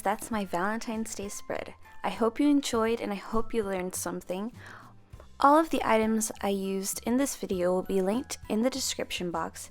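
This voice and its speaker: A young woman narrating a voice-over craft tutorial, chatty and friendly, sometimes correcting herself as she explains. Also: mid-range voice. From half Filipino, grew up speaking Tagalog. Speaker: planner stamping vlogger.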